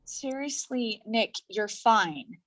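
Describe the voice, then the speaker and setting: Young woman, somewhat high-pitched. An actress playing a guarded, stubborn performer; blunt, defensive, stage drama delivery. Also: animated, slow, a bit bright, rough recording. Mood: uneasy. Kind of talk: staged reading of a drama play.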